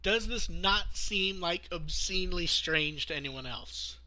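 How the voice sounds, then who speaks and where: A man's voice: sits high, unhurried, sharp tone. A man hosting a podcast, casually talking through news and thinking aloud as he goes. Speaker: podcast host. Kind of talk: casual news discussion among podcast co-hosts.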